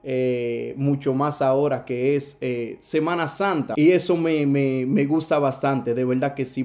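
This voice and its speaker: A man's voice: a little high, darkish tone. Dominican male evangelist, earnest and emphatic, filler-heavy, light guitar underneath. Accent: Dominican Spanish. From Dominican Republic. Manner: earnest, repetitive, many filler "eh"s, rising emphatic. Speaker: evangelist preacher. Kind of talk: sermon over video call. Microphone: video call.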